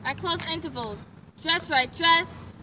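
A woman commanding a youth drill team outdoors, calling out drawn-out, clipped commands in a parking lot. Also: extremely high pitch. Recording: distant phone audio, outdoor noise.

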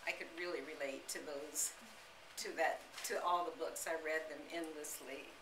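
An American woman aged sixty giving an earnest, deliberate autobiographical talk to a gathering as a guest speaker. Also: deep voice, fairly even intonation. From United States. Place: indoor living-room-style salon. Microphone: camera a few metres away. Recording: roomy, noisy recording from a distance.

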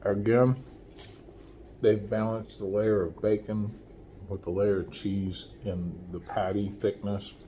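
A man in his forties chats his way through a food review at home, his voice mellow and a little low. He talks easily between bites, recorded on a phone held close with a faint hiss behind him. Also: takes it slowly. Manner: relaxed, chatty, talks while eating. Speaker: food vlogger. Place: small room at home, window blinds. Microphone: phone camera at arm's length.

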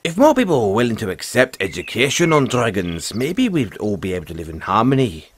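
A man voicing a friendly, philosophical dragon rider and gentle mentor in an audio roleplay, speaking in a folksy, earnest, musing way. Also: medium pitch, huge pitch swings, fairly quick, slight background noise. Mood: thoughtful, persuading. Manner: folksy, earnest, musing, says "aye" and "lad". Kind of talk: audio roleplay addressed to the listener.

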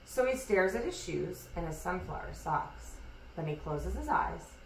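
A woman reading a rhyming children's picture book aloud in an expressive, measured storytelling way. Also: fairly low pitch, vocal fry. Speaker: storybook reader. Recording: echoey room, distant mic, hissy noise.

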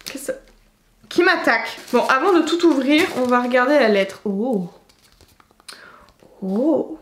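High-voiced young woman, playful book vlogger. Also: a little slow. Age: young adult. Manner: playful, expressive, exclaiming. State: slight head cold. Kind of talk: casual unboxing chat to camera. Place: small indoor room with bookshelves. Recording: roomy echo, faint background noise.

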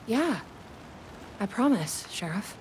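A woman's voice: mid-range voice, sing-song, slow, noisy background. An actress playing a wry, determined young woman in a video game. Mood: concerned.

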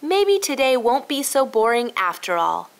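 A woman's voice: a little high, very animated, normal speed, creaky, voice pushed up. A woman voicing a curious, eager little boy who sounds full of wonder in a children's storybook.

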